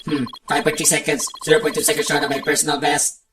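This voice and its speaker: Woman voicing a low, echoey, robotic male technician. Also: flat delivery, fast talker, background noise, lightly acted. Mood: precise, flat. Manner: clipped, technical, formal, literal-minded. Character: robotic, precise male bomb technician and shifty witness. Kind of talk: fan-dub game character acting. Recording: echoey, distant mic, music underneath. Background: music.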